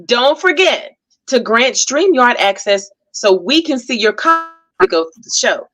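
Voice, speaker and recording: A woman with a fairly high, very animated voice hosts a casual talk show. She is chatty and excitable, quick to laugh, and addresses the audience directly with upbeat announcements. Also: no room sound, low-quality recording.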